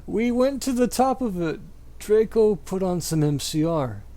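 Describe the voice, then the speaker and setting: Theatrical man reading slowly, with big pitch swings. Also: animated, dark tone. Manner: theatrical, exaggerated delivery; breaks into laughter and stumbles while reading. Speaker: amateur reader. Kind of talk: dramatic reading of fan fiction.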